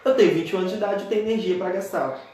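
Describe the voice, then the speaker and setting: A man's voice: high-pitched, racing, dark tone, very echoey. A Brazilian man in his twenties with a Brazilian Portuguese accent, preaching an earnest church teaching and often calling his listeners dear ones. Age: 20s. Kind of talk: church teaching talk on a live stream.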